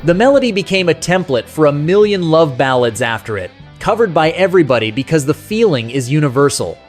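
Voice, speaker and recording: A man's voice: a little high, big pitch swings, brisk. A man narrating a scripted music countdown as its host, in a nostalgic, colloquial storytelling manner. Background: soft rock music behind the voice.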